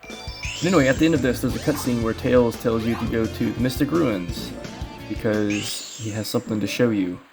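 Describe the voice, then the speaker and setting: A man's voice: medium pitch, normal speed. A man giving casual, informal speedrun commentary, rambling as he explains the game. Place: voice-over recorded over game footage. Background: game music.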